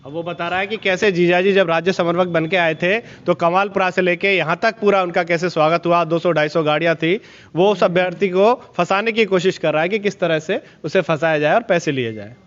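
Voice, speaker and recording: A man's voice: high voice, brisk, a bit bright. A man explaining and summarizing material for a news report in a spoken, explanatory way. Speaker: explainer presenting leaked call recordings. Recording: dull, lo-fi sound.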